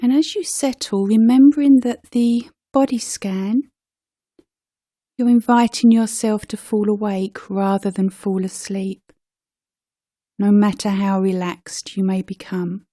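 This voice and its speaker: A woman leading a guided meditation as a teacher, speaking gently and unhurriedly with pauses between short phrases. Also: medium pitch, fairly even intonation, no room sound, studio quality.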